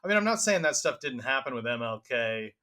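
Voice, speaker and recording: A male podcast co-host in casual debate, explaining his view earnestly. His voice is middling in pitch with a sharp, forceful brightness. He comes across as argumentative in an informal, conversational way. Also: very animated, fairly quick.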